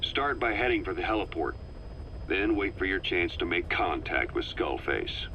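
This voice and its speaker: An actor playing a serious military intelligence officer in a video game, delivering a measured, explanatory briefing. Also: male voice, low voice, expressive. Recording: dull lo-fi tape, faint noise.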